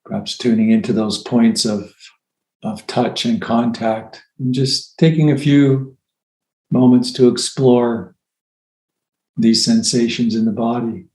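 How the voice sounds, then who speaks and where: A man leading a guided meditation as a teacher, with gentle, unhurried phrasing and long pauses. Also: mid-range voice, steady tone. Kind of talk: guided meditation, softly spoken instruction. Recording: roomy, echoey sound.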